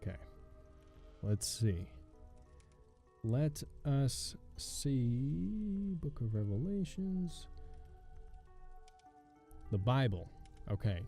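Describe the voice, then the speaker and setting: Young man, hoarse, very dark mellow tone, let's play commentary, thinking aloud, faint music behind. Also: medium pitch. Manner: thinking aloud, wry, muttering while reading. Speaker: gaming channel host.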